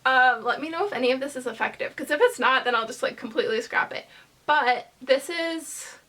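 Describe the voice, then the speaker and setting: A woman vlogger chatting casually to camera, rambling hesitantly with lots of fillers and pauses. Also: sits high, pushed and bright.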